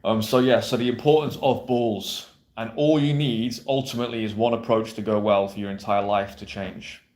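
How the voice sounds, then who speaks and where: A man's voice: lowish voice, steady tone, normal speed, darkish tone. A man coaching viewers on dating in a blunt, brash, emphatic way, swearing freely and checking in with "yeah?". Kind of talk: direct-to-camera coaching monologue. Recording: roomy echo.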